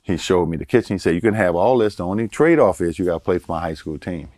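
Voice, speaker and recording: Older guest from Baltimore, deep voice, easygoing anecdotes, dry recording. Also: male voice, fast talker, dry recording. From Baltimore, Maryland. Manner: relaxed storyteller, chuckles, repeats words for emphasis. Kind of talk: casual storytelling interview.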